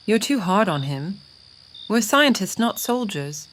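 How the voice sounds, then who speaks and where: A woman playing a gentle, forgiving mother and scientist in a dramatized audio comic. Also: mid-range voice, very animated, a little slow, slight background noise. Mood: calm, conciliatory.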